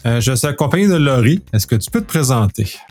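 A man hosting a podcast, speaking haltingly and repeating words. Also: mid-range voice, big pitch swings, darkish tone, faint music behind. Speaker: podcast host. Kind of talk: conversational podcast interview.

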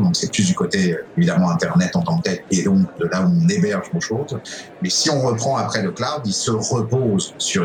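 A middle-aged man with a French accent from France, answering interview questions informally in a halting, digressive way with frequent self-corrections. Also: low-pitched, noisy background. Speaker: conference speaker, cybersecurity specialist.

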